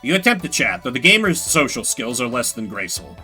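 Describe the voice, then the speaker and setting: A male streamer with a bright, lively voice that moves around a lot in pitch. He gives casual, slangy game commentary and reads on-screen text aloud expressively. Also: brisk, slightly rough, soft background music.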